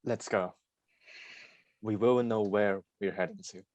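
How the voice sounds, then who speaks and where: A loyal, protective young man in a stage play, voiced by a woman. The voice is very low and close, the lines terse and guarded. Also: steady tone, measured pace, a little mellow, dry recording. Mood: tender, quiet. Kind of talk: reader's theatre acting.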